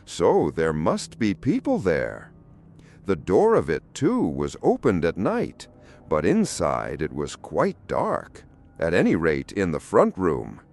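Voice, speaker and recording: A man narrating a fairy tale audiobook in an expressive, deliberate storytelling manner. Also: deep voice, vocal fry.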